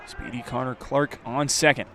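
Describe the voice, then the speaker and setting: A man with an American accent giving live radio play-by-play commentary of a baseball game, measured between plays and louder on the action. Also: medium pitch, fairly even intonation, a little hiss or hum.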